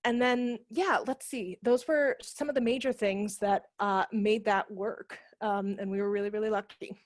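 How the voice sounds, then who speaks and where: A woman's voice: medium pitch, fairly even intonation, normal speed, dry recording, clean studio sound. A woman in her thirties explaining informally as a guest speaker on a video call, through a headset microphone. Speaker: guest speaker, theatre managing director. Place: room at home.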